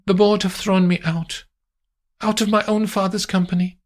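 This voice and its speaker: A woman's voice: medium pitch, little pitch movement, normal speed, darkish tone, voice pushed up, lightly acted. A man doing a haughty rich woman's voice. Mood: commanding. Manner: clipped, haughty, gives orders. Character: imperious wealthy woman, cool and commanding.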